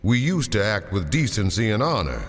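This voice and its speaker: An actor playing a formal, principled lieutenant colonel who speaks earnestly. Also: male voice, fairly low pitch, very animated, moderate pace, breathy edge, long reverb tail.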